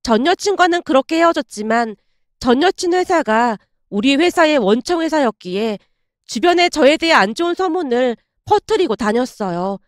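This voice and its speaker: High-pitched, animated woman story narrator. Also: moderate pace, dry recording, studio quality. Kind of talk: scripted dramatic first-person story narration.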